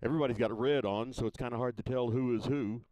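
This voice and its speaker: A man broadcasting a high school baseball game from a ballfield press box, talking in a folksy, unhurried way with many fillers and restarts. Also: steady tone, darkish tone, dry recording, rough recording. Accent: Missouri. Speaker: play-by-play broadcaster. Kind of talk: casual local sports broadcast.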